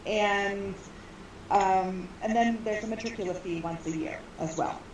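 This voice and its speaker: A woman's voice: mid-range voice, measured pace, a little hiss or hum. A woman guest answering interview questions hesitantly, with many fillers and restarts, recorded from a distance in an echoey room. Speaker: school co-founder guest. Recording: roomy echo, far from mic.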